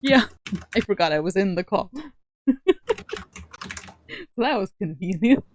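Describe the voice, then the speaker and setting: A woman streamer chatting on a call, soft-spoken and laughing often. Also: medium pitch, lively intonation, a little slow, a little hiss or hum. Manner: giggly, laughs often, speaks softly. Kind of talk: casual livestream chat on a call.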